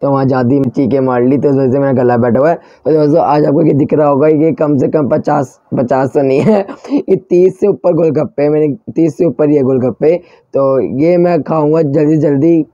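Hoarse chatty vlogger man, dark tone. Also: a little high, fairly even intonation, moderate pace, dry recording, highs cut off. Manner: chatty, addresses viewers as friends. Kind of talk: casual food-challenge vlog.

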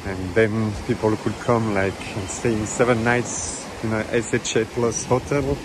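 A man's voice: on the low side, steady tone, measured pace. A man casually commenting as he films a walking tour on a beach, chatting in slightly non-native phrasing with fillers. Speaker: travel vlogger. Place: outdoors on a tropical beach. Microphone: handheld phone camera. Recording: outdoor phone audio with surf noise. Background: waves and wind.

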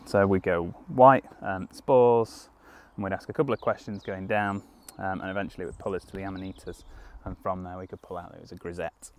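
A young man speaks in a lowish voice, calmly walking through how to identify what he's found. He talks easygoing and explanatory, with plenty of ums and restarts. He is crouched outdoors in a woodland clearing, recorded by a camera a few metres off. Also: a little fast, slight background noise. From United Kingdom. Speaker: foraging guide. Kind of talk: outdoor teaching walkthrough. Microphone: camera on a tripod at a few metres, crouching in frame.

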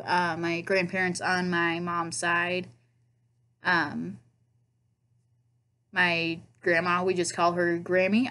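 A woman's voice: on the low side, pushed and bright. A young woman with a Nebraska accent, talking casually and self-mockingly to a webcam in her bedroom. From Nebraska, USA. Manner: chatty, self-mocking, playful asides. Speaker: vlogger. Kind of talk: casual accent tag video. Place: bedroom at home, indoors. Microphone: laptop webcam.

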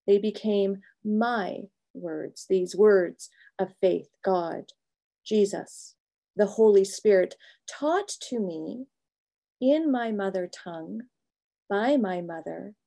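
A middle-aged woman pastor giving a reflective, prepared talk from a home office over a video call, measured and thoughtful, pausing between phrases. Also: medium pitch, creaky, warm tone. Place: home office with bookshelves, indoors.

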